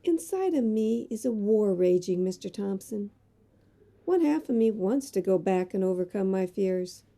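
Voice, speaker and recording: Woman acting a dark-toned, anxious lady reporter. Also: medium pitch, animated, normal speed, vocal fry. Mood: torn. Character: earnest, fearful young female magazine reporter. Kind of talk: amateur audio drama acting.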